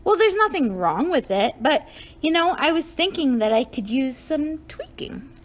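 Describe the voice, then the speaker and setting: A woman's voice: high-pitched, big pitch swings, moderate pace. A woman playing an anxious, self-conscious young girl in a children's puppet show, fretting and musing aloud in a chatty way.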